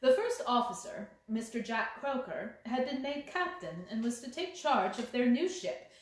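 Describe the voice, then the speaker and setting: The recording is very echoey. A woman with a fairly high voice narrates a story from a script, as an audiobook reader. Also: lively intonation, moderate pace. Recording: very echoey room.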